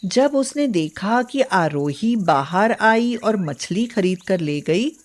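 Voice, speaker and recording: A woman narrating a scripted moral story as a storyteller. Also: mid-range voice.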